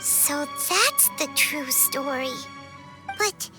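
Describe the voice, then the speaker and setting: Little fairy sidekick girl for a game: extremely high, squeaky, unhurried, wide-eyed, earnest. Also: sing-song, over loud music, heavily acted. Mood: curious. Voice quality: squeaky, childlike. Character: tiny childlike floating fairy girl companion, curious, earnest. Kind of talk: video game voice acting.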